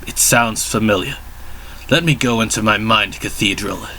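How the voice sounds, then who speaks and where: A man voicing a formal, ponderous, self-assured detective with pompous, drawn-out phrasing in a story reading. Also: on the low side, pushed and bright, slight background noise. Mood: pondering.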